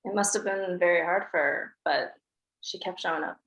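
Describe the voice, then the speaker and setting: A woman's voice: lowish voice, moderate pace, gravelly, fairly bright tone. A woman reading reflective voice-over narration at a table read. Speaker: narrator as the grown daughter. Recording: echoey room.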